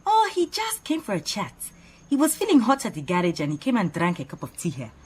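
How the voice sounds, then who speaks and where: Animated, opinionated woman assistant. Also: mid-range voice, fairly quick, slightly rough. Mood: coy, chatty. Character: earnest, opinionated female detective's assistant, proud and chatty. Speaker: actor in radio drama. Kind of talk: radio drama acting.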